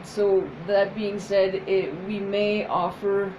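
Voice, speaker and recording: A woman whose first language is French, speaking as a psychotherapist in a soft, hesitant casual chat with many pauses. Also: mid-range voice, little pitch movement, lo-fi sound, noisy background. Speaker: psychotherapist, co-host.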